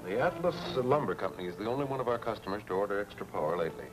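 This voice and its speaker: A matter-of-fact company official, acted by a man in a 1940s serial. His low voice moves along quickly over music on an old film soundtrack. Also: expressive, natural voice, low-quality recording.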